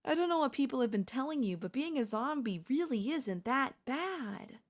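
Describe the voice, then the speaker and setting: A woman's voice: high voice, animated, normal speed, voice pushed up. A woman voicing a sly, chatty female zombie in a comedic audio roleplay, coaxing and reasoning in a casual, conversational way. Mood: friendly persuasion.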